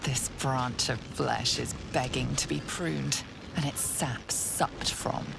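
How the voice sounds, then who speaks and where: A woman acting as the solemn, ominous narrator of a dark fantasy game, speaking slowly and deliberately over a noisy stream capture. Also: rumbling low, vocal fry, over loud music, full character voice. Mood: dark, savoring. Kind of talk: dramatic second-person game narration.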